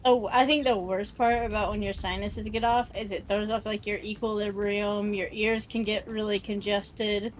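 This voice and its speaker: A woman's voice: medium pitch, flat delivery, normal speed, hardly any pauses. A woman chatting with friends over voice chat, telling stories and swearing casually. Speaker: friend in the game group.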